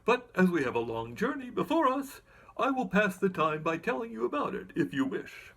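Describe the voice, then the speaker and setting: Man playing an animated, high-pitched winged monkey king. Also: moderate pace, creaky, exaggerated voice. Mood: wistful, lively. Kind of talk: storybook character narration.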